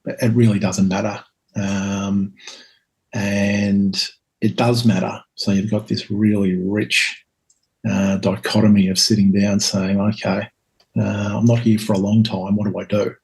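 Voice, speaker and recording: A Scottish man in his fifties, a guest telling his life story in a reflective, hesitant way with many pauses and ums. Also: fairly low pitch, flat delivery, vocal fry, darkish tone, rough recording. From Scotland. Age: 50s.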